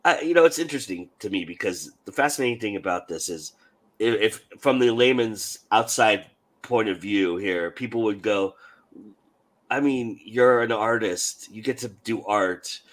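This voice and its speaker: Male host, hoarse froggy voice, low pitch, rambling, self-deprecating. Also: normal speed, fairly bright tone. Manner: rambling, self-deprecating, laughs at his slips. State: hoarse, a bit of a growl. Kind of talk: online panel show hosting.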